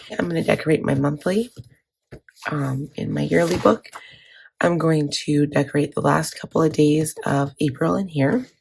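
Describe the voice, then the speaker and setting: Woman, scratchy voice, gravelly, chatty with fillers and restarts. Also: sits low, darkish tone. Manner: chatty, filler-heavy, self-correcting. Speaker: planner vlogger. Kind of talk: casual chat.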